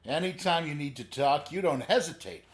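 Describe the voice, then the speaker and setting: A man's voice: on the high side, animated, moderate pace, natural voice. A man playing a friendly, easygoing neighbour in a comedic audio drama, folksy and chummy. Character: friendly, easygoing male neighbour eager to befriend.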